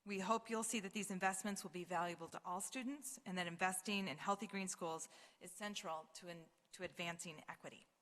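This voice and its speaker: Measured woman reading a statement in a hall. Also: on the low side, a bit bright. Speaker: parent speaking at public comment. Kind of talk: prepared public statement. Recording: reverberant meeting room.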